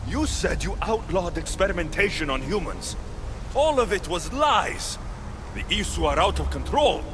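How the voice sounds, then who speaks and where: A man's voice: high-pitched, big pitch swings, normal speed, background noise. An actor playing a defiant male warrior hero in a video game cutscene, speaking bluntly. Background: game score music.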